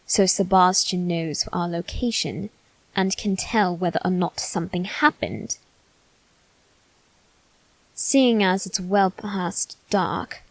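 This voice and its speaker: A woman performs a haughty young nobleman, still a boy, bitter and guarded. He speaks very slowly in formal, condescending phrases. The sound is dry and intimate, made for an ASMR character roleplay. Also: medium pitch, no echo, poor audio.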